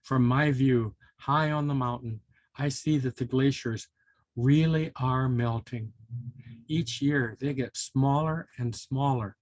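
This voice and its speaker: A man's voice: mid-range voice, steady tone, takes it slowly, no room sound, lightly acted. A man voicing a grave, commanding mountain monarch in a children's storybook. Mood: sad, resigned.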